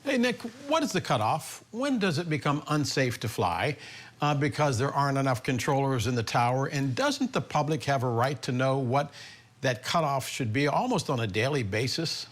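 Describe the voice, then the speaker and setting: Direct male news interviewer, medium pitch. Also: expressive, normal speed. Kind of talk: broadcast interview questioning.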